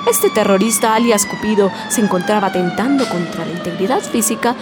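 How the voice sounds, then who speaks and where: An actress playing an earnest Colombian on-the-scene news reporter with formal diction in a parody radio soap. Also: female voice, mid-range voice, sing-song, brisk, background noise, lightly acted. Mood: earnest, urgent. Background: music.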